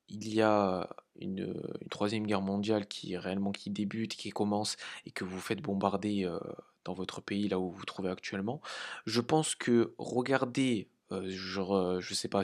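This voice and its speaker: A man's voice: lowish voice, darkish tone. A man from France hosting a crypto trading channel, talking casually with many hesitations and light laughs. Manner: halting, many fillers, informal swearing. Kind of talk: casual market analysis.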